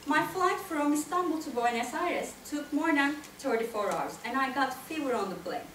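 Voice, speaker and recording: A woman in her thirties with a Turkish accent giving an expressive, prepared storytelling speech to listeners. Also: sits high, moderate pace, recorded from a distance. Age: 30s. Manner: expressive, addresses listeners directly. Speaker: club speaker, tango dancer. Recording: echoey room from afar, faint noise.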